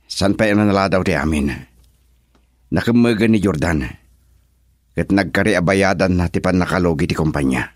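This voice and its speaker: An actor playing an earnest, devoted grown son and husband in a radio drama, solemn and measured. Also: male voice, sits low, dry recording, studio quality, close to an everyday voice. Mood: troubled. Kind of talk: Ilocano radio drama acting.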